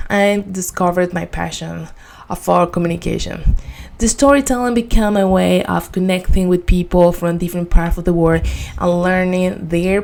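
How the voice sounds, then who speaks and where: A woman from Venezuela with a Spanish accent gives a prepared motivational presentation in earnest, deliberate non-native English. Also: mid-range voice, vocal fry, darkish tone. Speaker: student giving a personal speech.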